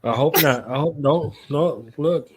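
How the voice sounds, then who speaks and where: A man's voice: fairly high pitch, a little slow, background noise. A man hosting a radio interview in a playful, effusive way, with theatrical flourishes. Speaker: radio show host.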